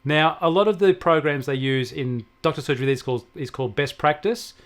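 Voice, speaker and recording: A man's voice: on the high side, fairly quick. An Australian man giving conversational technology advice as an expert, emphatic and repeating points to stress them. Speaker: technology expert answering questions.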